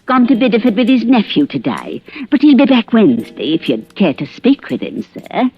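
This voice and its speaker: A woman's voice: fairly high pitch, big pitch swings, moderate pace. An actress playing a sly, gossipy older village woman, deferential yet knowing, in an old radio drama. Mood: cagey, gossipy. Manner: sly, folksy, drops hints. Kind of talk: golden-age radio drama acting. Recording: muffled vintage radio recording.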